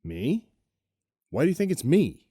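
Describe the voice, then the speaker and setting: Man playing a flippant, animated young cop. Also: on the high side, measured pace, husky, no room sound, clean studio sound, exaggerated voice. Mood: defensive. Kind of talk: audio drama dialogue.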